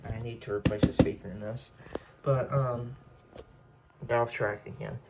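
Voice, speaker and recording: A man giving a casual, unscripted show-and-tell as a hobbyist collector, rambling and halting as he corrects himself. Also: medium pitch, fairly even intonation, creaky, heavy background noise.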